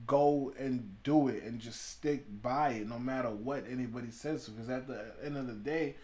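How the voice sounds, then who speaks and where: A man's voice: medium pitch, moderate pace, warm tone. A man from Haiti, a podcast guest talking in casual chat, reflective and musing, often trailing off and restarting.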